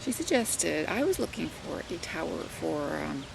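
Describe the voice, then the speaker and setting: Older canadian lady rambling on her vlog, southern ontario accent, bit of fry, lowish voice, noisy background. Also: sing-song, measured pace. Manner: rambling, many fillers and asides. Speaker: vlogger and teacher. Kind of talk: casual chat to camera. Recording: home recording with clear background noise.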